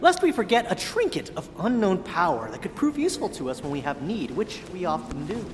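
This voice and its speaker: An actress playing a dry, deliberate, mercenary gamer in a comedic audio drama. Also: female voice, lowish voice, very animated, a little mellow, a little hiss or hum. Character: dry, mercenary adventurer, pre-med student gamer. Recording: long hall reverb.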